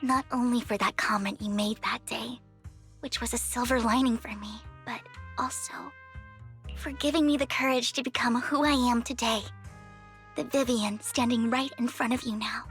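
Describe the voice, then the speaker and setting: A woman voicing a devoted, formal young woman in a video game cutscene, speaking in a hushed, breathy way. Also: a little high, fairly even intonation, moderate pace, long pauses, faint music behind, close to an everyday voice. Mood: tender. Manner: hushed, breathy confiding delivery.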